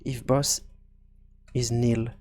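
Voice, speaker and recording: A man presenting an unscripted programming tutorial, hesitant and halting as he thinks aloud. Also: medium pitch, little pitch movement, a little mellow.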